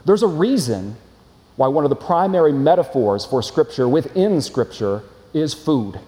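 A man preaches to his congregation from the front of a church, his voice carrying with a long hall reverb. He speaks a little high for a man but with a warm, mellow tone. His delivery is earnest, steady and explanatory, with rhetorical questions to the room. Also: moderate pace. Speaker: pastor. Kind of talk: sermon.

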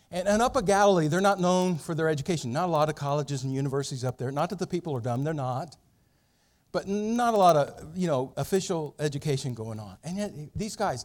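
A middle-aged male pastor preaching an expository sermon, telling the story dramatically with big swings in loudness. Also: fairly high pitch.

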